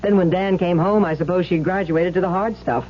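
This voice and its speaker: A woman's voice: on the low side, fairly even intonation, fairly quick, a little hiss or hum, lightly acted. A woman playing a gossipy, blunt suburban housewife in an old-time radio drama, chatty, exclamatory and scornful, on a muffled recording.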